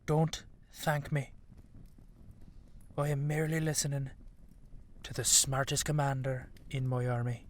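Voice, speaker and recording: Man as weary dragon king father, audio roleplay, stern, regal, deliberate pace, restrained even intonation. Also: medium pitch, natural voice. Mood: gruffly conceding. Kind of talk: scripted audio roleplay drama.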